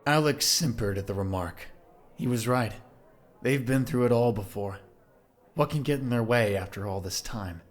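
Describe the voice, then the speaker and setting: A man's voice: medium pitch, normal speed. A man narrating an audio drama from a script.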